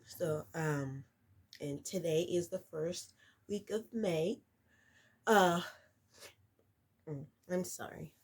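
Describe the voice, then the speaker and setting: A woman's voice: fairly low pitch, takes it slowly, long pauses, slightly rough. A woman vlogging casually to camera, congested and nasal from a cold, rambling with lots of fillers and restarts. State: stuffy nose from a cold.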